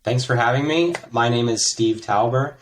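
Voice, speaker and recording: An American man, a guest in a conversational interview, explaining his work in a relaxed way with frequent fillers. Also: medium pitch, normal speed, slight background noise. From United States. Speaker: interview guest, tech executive.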